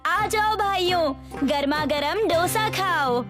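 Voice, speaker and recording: A woman's voice: very high-pitched, slow. A woman playing a supportive, practical wife of a street food vendor in a cartoon story. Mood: cheerful, inviting. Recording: music behind the voice.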